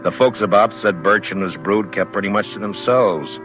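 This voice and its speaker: An actor playing a steady, laconic US Marshal in an old-time radio western, calm and plainspoken with an American accent. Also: male voice, lowish voice, normal speed, lightly acted, rough recording. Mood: somber, reflective. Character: steady, laconic middle-aged male frontier US Marshal. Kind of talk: old-time radio western acting and first-person narration. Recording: vintage radio recording, music behind.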